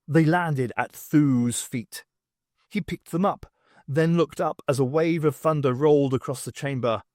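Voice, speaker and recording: A man's voice: a little high, unhurried, a little mellow, no echo, clean studio sound. A man reading an audiobook as scripted, dramatic story narration.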